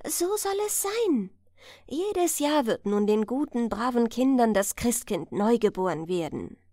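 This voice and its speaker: A woman's voice: fairly high pitch, expressive, a little slow, mellow, dry recording, studio quality. A woman voicing joyful, devout, childlike little angels with a lilting delivery in a fairy tale. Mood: jubilant. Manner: lilting verse recitation. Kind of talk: fairy-tale audiobook character reading.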